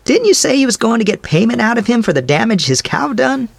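A man puts on a gossiping village storekeeper from an old storybook, pitched up high and swooping all over. The voice has a gravelly, crackling edge and is loud and eager with news, and the recording is close and dry. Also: very animated, a little fast, dry recording, heavily acted. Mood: gossipy, gloating. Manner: folksy rural grammar, eager to tell news. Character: talkative gloating male village storekeeper. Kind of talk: storybook character voice.

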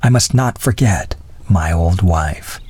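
A man's voice: sits low, animated, slow, a little mellow, breathy edge, dry recording, exaggerated voice. A man voicing an earnest, honourable, reserved husband in an audiobook. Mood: softened. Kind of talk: audiobook character voice.

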